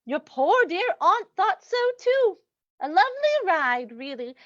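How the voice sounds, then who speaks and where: A woman's voice: extremely high pitch, big pitch swings, unhurried, no room sound, lo-fi sound, exaggerated voice. A woman playing a gloating, sweetly cruel lady murderess in an old-time radio mystery, cooing mock sympathy at her victim.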